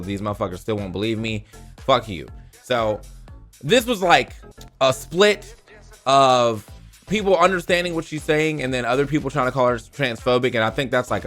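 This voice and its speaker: A man's voice: mid-range voice, fairly bright tone. A man hosting a gaming news show, commenting casually and reading posts aloud in an informal, slangy way with free swearing.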